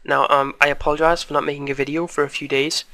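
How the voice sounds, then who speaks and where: A man with a fairly high, bright voice presents a casual screencast tutorial. His delivery stays quite monotone, informal and a bit hesitant, with a slight croak left over from a cold. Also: fast.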